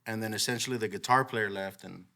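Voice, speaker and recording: A man's voice: on the low side, quick pace, vocal fry, sharp tone. A young man who grew up partly in El Salvador, chatting casually as a guest musician and often saying "bro.". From California, childhood in El Salvador. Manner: laid-back, says "bro" a lot, laughs easily. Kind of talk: casual podcast chat.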